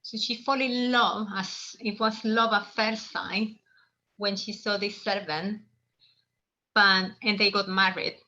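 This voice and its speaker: A woman's voice: medium pitch, fairly even intonation, slow, bright, forceful tone, highs cut off. A woman from El Salvador hosting a live online origami lesson, explaining in a friendly, meandering way with restarts. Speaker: workshop host.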